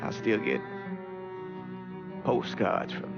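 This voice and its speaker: Gravelly, slow-spoken older man. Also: medium pitch. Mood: wistful. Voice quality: gravelly, creaky. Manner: halting, thoughtful, plain-spoken. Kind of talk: documentary interview monologue, acted. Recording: dull muffled sound under loud music. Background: plucked guitar music.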